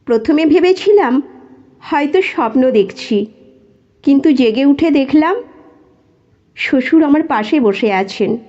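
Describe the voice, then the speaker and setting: A woman narrating a scripted first-person story in a measured, dramatic storytelling manner, with dull lo-fi sound. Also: high-pitched, lively intonation, warm tone.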